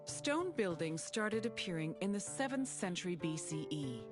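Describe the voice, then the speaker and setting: A lively history guide. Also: female voice, on the low side, moderate pace. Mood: informative. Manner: informative, lecturing. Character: knowledgeable female history tour narrator. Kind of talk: scripted educational narration. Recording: game music behind.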